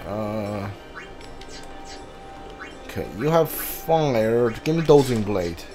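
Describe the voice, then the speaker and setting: A man's voice: on the low side, expressive, extremely slow, dark tone. A man giving casual video game commentary, thinking aloud and reading text from the screen. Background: game music.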